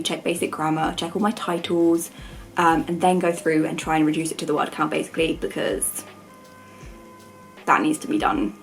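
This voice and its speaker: A young woman with a croaky voice, chatting informally and rambling in a self-deprecating way as a student vlogger. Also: fairly low pitch, low-quality recording. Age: young adult. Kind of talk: casual chat to camera.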